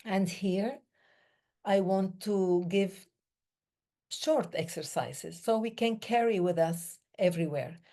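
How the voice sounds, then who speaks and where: Slow, soft-spoken woman, Middle Eastern-born. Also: mid-range voice. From Middle East. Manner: slow, measured, with pauses between short phrases. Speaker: breathing day speaker. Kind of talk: reflective talk.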